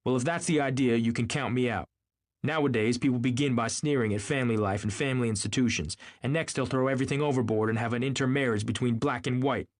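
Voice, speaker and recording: A man's voice: medium pitch, lively intonation, fairly quick, voice pushed up, close to an everyday voice. Man acting a pompous, blustering rich husband. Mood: indignant, pompous. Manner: snapping, pompous, incredulous. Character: arrogant, indignant, blustering wealthy husband, a grown man.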